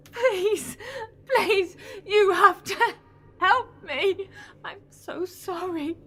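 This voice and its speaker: A woman's voice: very high-pitched, lively intonation, very slow, pushed and bright, heavily acted. A woman acting as a desperate, bitter renegade villainess in an audio drama, with a melodramatic delivery. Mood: desperate pleading. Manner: melodramatic, pleading.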